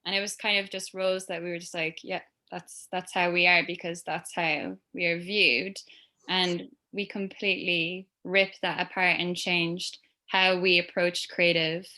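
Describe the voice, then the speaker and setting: A woman's voice: lowish voice, little pitch movement, a little slow, sharp tone. An Irish woman talking as a creative guest on a video-call panel, chatty and loose, with lots of fillers. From Ireland. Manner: chatty, rambling with many fillers.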